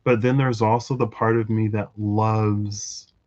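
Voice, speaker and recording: A man thinking out loud in a rambling, reflective monologue for his tarot channel, full of fillers and restarts. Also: on the low side, level tone, unhurried, muffled recording. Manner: musing, lots of fillers and restarts. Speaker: tarot reader vlogger.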